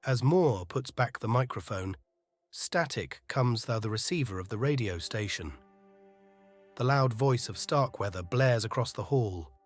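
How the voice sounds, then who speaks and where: A man's voice: mid-range voice, expressive, unhurried, dry recording, soft background music. A man narrating a scripted audio drama in a literary, atmospheric storytelling manner.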